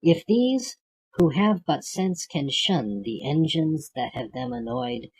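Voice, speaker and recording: Slow, husky poetry reader of unclear gender. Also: medium pitch, a little mellow, rough recording. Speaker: audiobook reader. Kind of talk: reading classic poetry aloud.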